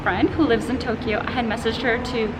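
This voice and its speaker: A young woman hosting a casual vlog interview, upbeat and friendly, laughing easily. Her voice sits a little high. Loud traffic and street noise roar behind her on a camera mic. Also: fairly even intonation, a little fast. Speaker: vlog host interviewing a guest. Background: loud street noise and passing traffic.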